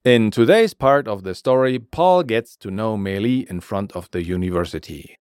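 A man hosts a scripted language lesson in a fairly low voice. He takes his time, setting out each phrase deliberately for learners. His intonation is very lively, and the sound is close and dry. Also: clean tone, dry recording, faint music behind. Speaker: language teacher and podcast host.

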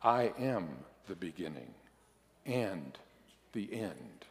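Guy as god in a sermon story, patient n gently teasing, slow n deliberate, echoey hall. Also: medium pitch, sing-song. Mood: earnest, slow. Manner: deliberate, teacherly, pointed. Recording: echoey hall, long reverb.

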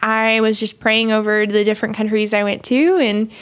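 Young woman from haiti, missionary, kinda high voice, rambly and earnest with lots of ums. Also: little pitch movement, normal speed, smooth. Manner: earnest, rambling with many fillers. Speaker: missionary guest. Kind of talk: casual interview talk.

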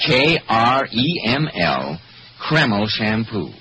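A man's voice: fairly low pitch, very animated, slow, pushed and bright, a little hiss or hum. An American man announcing and hosting in a polished, emphatic 1940s radio style. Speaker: radio announcer and program host. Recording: vintage 1940s radio broadcast with music.

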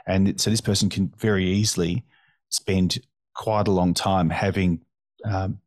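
A man hosting a podcast interview, thoughtful and affirming, with many soft "mm"s. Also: low voice, little pitch movement, measured pace, dry recording. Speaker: podcast host. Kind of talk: conversational interview.

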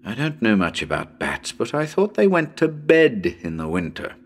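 A man's voice: medium pitch, sing-song, normal speed. A man playing a nervous radio broadcaster on a ghost hunt in a dramatic reading, talking to listeners in a chatty, hesitant, uneasy way. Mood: chatty unease. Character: nervous male radio broadcaster ghost hunting in haunted house.

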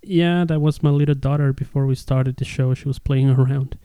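A man's voice: mid-range voice, fairly even intonation, a little fast, warm tone, smooth, no echo. A man from Ecuador hosting a casual podcast interview in an enthusiastic, chatty way.

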